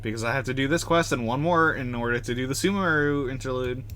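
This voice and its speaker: A man's voice: mid-range voice, fast, a bit bright. A man livestreaming a game, giving casual, chatty, joking commentary as he plays. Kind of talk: casual live commentary on gameplay.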